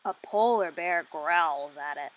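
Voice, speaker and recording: A woman in her twenties reading a picture book aloud for young children, asking questions and enunciating deliberately. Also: lowish voice, narrow, tinny audio, a little hiss or hum. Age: 20s.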